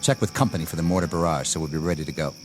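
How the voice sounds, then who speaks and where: An actor playing a zealous young army lieutenant in a radio drama, commanding and scornful in a clipped way. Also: male voice, a bass voice, rapid-fire, slight background noise. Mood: confident, cold. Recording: radio drama with music underneath.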